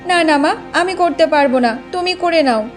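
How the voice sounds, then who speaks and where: A haughty young wife from a cartoon folktale, acted by a woman. Her voice is high-pitched and she talks in a boastful, scolding way, with faint music behind her. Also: a little fast. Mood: defiant, haughty. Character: vain, haughty young village wife obsessed with her hair. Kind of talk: Bengali cartoon folktale acting.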